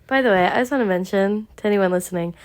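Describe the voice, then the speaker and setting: A woman's voice: mid-range voice, fast talker. A young woman with a stuffy, congested voice co-hosting a casual book club podcast, chatty and full of filler words. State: congested from a sinus infection. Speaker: podcast co-host.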